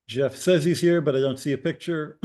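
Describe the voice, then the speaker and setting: A man hosting a video call who calls on people by name and often clears his throat. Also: on the high side, warm tone. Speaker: meeting host.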